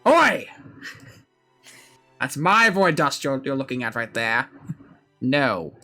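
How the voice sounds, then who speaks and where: A man hosts a game stream in a bright, very lively voice. He chats casually and playfully, with faint music behind him. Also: medium pitch, slow. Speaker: game livestream host.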